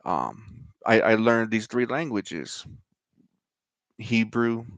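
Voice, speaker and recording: A man's voice: lowish voice, lively intonation, takes it slowly, dry recording. A middle-aged man from Denver with an American accent, reading scripture and talking informally about himself as host of his show. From Denver, Colorado, United States. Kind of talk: scripture reading and personal talk.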